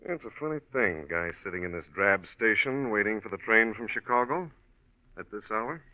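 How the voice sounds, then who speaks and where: An actor playing a dry, probing American homicide lieutenant in a 1940s radio drama, folksy and deliberately offhand as he questions. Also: fairly low pitch, expressive, no room sound, close to an everyday voice. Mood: casual, probing. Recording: muffled old radio recording.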